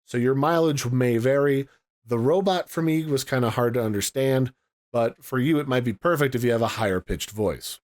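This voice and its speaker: A man's voice: medium pitch, moderate pace, darkish tone. A man giving a casual product review and demo as host.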